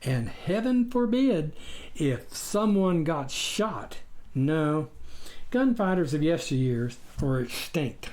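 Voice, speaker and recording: A man reading a story as scripted narration, in a deliberate, expressive audiobook style. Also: fairly high pitch. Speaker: author reading own memoir.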